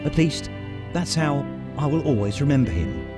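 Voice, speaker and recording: A man reading a memoir aloud as an audiobook narrator, in measured storytelling phrases. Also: mid-range voice, sing-song, music in the background. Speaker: audiobook reader. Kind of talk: scripted literary narration.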